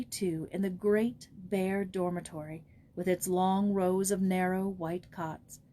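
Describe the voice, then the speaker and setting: A woman's voice: mid-range voice, mellow. A woman reading a scripted children's story as an audiobook narrator. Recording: noticeable background noise.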